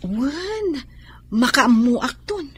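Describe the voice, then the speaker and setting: A high-voiced, feisty Filipino employee. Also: female voice, very animated, very slow, husky, full character voice. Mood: scheming, bold. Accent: Filipino, Ilocano. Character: feisty, scheming young computer-shop employee. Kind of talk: radio drama acting.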